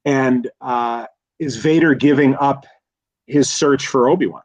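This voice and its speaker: A man in a casual analytical discussion, speaking haltingly and repeating words as he thinks aloud. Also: mid-range voice, a little mellow, dry recording, low-quality recording. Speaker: guest expert.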